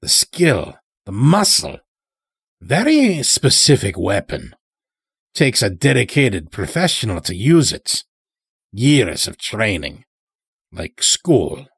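A man's voice: on the low side, huge pitch swings, slow pace, gravelly, fairly bright tone, husky, no room sound, studio quality, exaggerated voice. A man voicing a folksy, taunting old elder in an audio story, chatty and needling with rustic phrasing. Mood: musing, needling. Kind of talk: dramatic audio story character.

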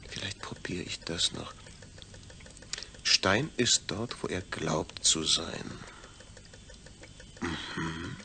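An actor playing a pensive astronaut in a deliberate, theatrical audio drama soliloquy. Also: male voice, deep voice, vocal fry, sharp tone, a little hiss or hum, heavily acted. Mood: searching, puzzled. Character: pensive astronaut man puzzling over his own detached brain. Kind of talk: German audio drama monologue.

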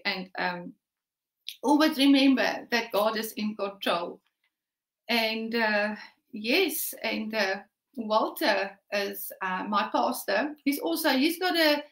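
A woman's voice: mid-range voice, a bit bright. A middle-aged woman whose first language is Afrikaans, a shop owner talking earnestly to camera from a living room, with many fillers and restarts. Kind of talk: casual personal talk to camera. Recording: roomy echo from a distant mic.

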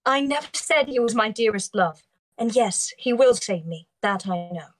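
A woman reads the part of a defiant captive noblewoman in a live script reading. The voice is fairly high and very expressive, earnest and insistent. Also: normal speed. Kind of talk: live script table read.

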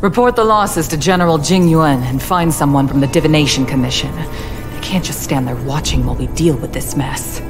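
A woman's voice: deep voice, normal speed, music in the background, natural voice. A woman voicing a stern, commanding female official in a video game, formal and firm in manner.

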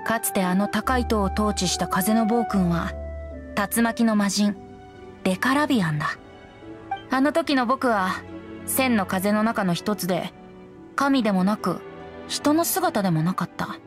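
A woman voicing a youthful, boyish wind spirit bard in an anime game, gentle and wistful, with lyrical storytelling phrasing. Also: mid-range voice, lively intonation, measured pace, pauses often, over music, natural voice. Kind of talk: anime video game voice acting, poetic narration.

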